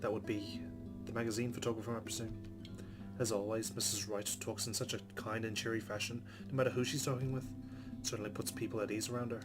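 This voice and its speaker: A man's voice: on the low side, level tone, quick pace, over loud music. A man hosting a let's play, reading visual novel narration aloud and adding casual commentary.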